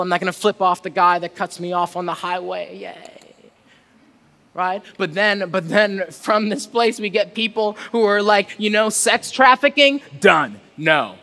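A man's voice: high voice, gravelly, bright, forceful tone. A man preaching a conversational sermon, earnest and rhetorical, often checking in with his listeners. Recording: reverberant hall with long echo.

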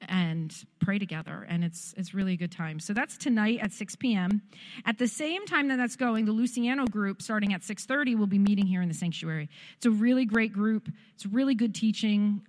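A woman giving casual church announcements from the sanctuary, talking loosely with many ums and uhs. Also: medium pitch. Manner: chatty, warm filler-laden delivery with many ums. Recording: long hall reverb of a church.

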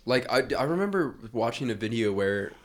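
A man's voice: medium pitch, sing-song, a little fast. A young man co-hosting a casual podcast, rambling loosely with lots of filler words. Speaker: podcast co-host.

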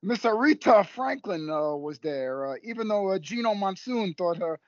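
A man as high-voiced stammering Canadian sidekick. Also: expressive, normal speed, no echo, muffled recording. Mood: flustered, enthused. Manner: stammering, hesitant, repeating words. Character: excitable, bumbling Canadian wrestling sidekick. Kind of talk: comedic audio play acting.

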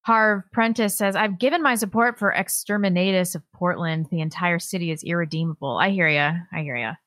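A woman's voice: fairly low pitch, fairly quick, a bit bright. A woman talks casually as co-host of a political livestream. Her sound is close and free of echo. She reads messages aloud and comments in a dry, offhand manner. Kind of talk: casual chat, reading viewer messages aloud.

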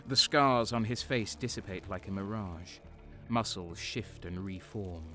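An actor playing a military man in a dark fantasy audio drama, speaking in a measured, portentous way. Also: fairly low pitch, faint music behind. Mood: ominous.

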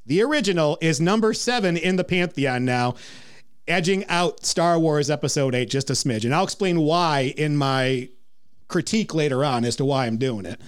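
A man's voice: a little high, expressive, normal speed, smooth. A man hosting a movie review podcast, teasing his co-host and rattling off lists.

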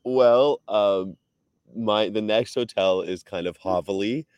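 A man who is a touring animal rights activist, talking in a relaxed, slangy, casual way over a video call. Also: lowish voice, takes it slowly, smooth, dry recording. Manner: laid-back slangy talk. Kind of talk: casual conversation.

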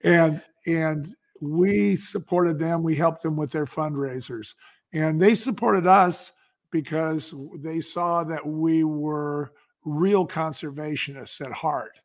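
A man's voice: a little high, slow. An American man talking as an interview guest, telling stories conversationally and emphatically, with rhetorical questions. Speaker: interview guest, company founder.

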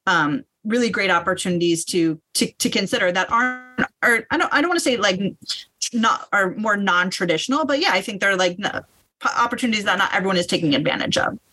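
A woman giving advice as a panelist in a conversational style, halting and correcting herself as she goes. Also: mid-range voice, sharp tone, slightly rough.

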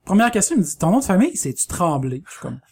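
A man's voice: sits high, big pitch swings, rapid-fire, vocal fry, breathy edge. A man with a Quebec French accent, talking casually as a podcast co-host. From Lac-Saint-Jean, Quebec. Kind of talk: casual chat.

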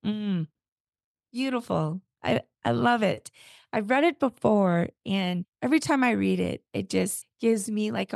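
A hoarse woman hosting her podcast, her voice gravelly and rough from a cold. She talks in a gentle, unhurried, encouraging way, and the sound is close and dry with no room around it. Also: medium pitch, no room sound. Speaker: podcast host. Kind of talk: reflective podcast talk.

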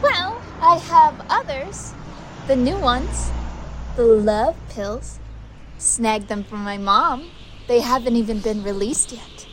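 A woman's voice: on the high side, animated, takes it slowly, fairly bright tone, a little hiss or hum, rough recording. An actress playing a flippant, defiant young woman in a conversational, teasing way in an audio drama. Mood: playful, giddy.

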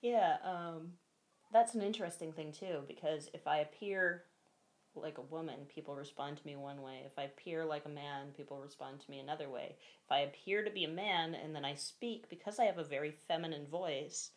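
A feminine-voiced guest talking candidly in a casual interview, chatty and quick to laugh. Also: female voice, sits low. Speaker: guest, costumed performer.

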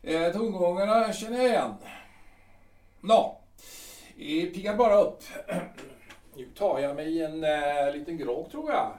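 A man's voice: high voice, a little mellow, natural voice. Guy doing a sour old army captain, lots of hmms, sounds far off in a roomy space. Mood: grumbling. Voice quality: grumbling, hesitant with many ums. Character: bitter aging male artillery captain, mocking. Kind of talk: Swedish audio drama acting.

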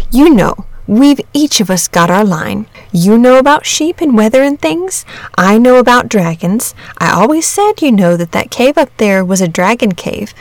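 Woman as a calm, know-it-all young boy, dry sound. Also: fairly high pitch, no room sound. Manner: unhurried, matter-of-fact, assured. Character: calm, confident bookish young boy, gently patronising. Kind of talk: storybook character reading.

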